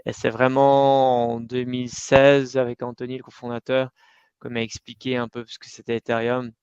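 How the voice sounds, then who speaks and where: A middle-aged man speaks in a smooth, clean voice that barely rises or falls. He chats casually as an interview guest, full of fillers and restarts. The recording is dry and a little dull. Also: mid-range voice, moderate pace, no echo. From Iran and Switzerland, raised in the United States. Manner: animated storytelling with many fillers and restarts. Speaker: company cofounder, interview guest.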